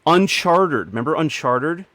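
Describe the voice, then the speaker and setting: A man's voice: fairly high pitch, animated, a little slow, fairly bright tone, no echo. A man co-hosting a podcast, chatting casually with friends about entertainment. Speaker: podcast co-host. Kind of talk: casual group chat about films and games.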